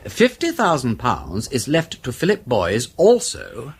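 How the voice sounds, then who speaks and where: A witty, aristocratic English gentleman sleuth in a radio drama. His upper-class speech is clipped and exclamatory, leaping animatedly up and down, over faint background noise. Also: mid-range voice, wildly swooping pitch, measured pace. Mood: excited deduction. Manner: breezy, exclamatory, clipped old-fashioned phrasing. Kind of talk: British radio drama acting.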